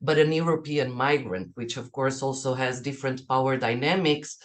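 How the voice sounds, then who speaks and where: A woman's voice: rumbling low, normal speed. A woman from Portugal with a Portuguese accent gives an online academic presentation as a researcher, reflective and often checking her points with "right?". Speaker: academic researcher, keynote speaker. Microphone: video call.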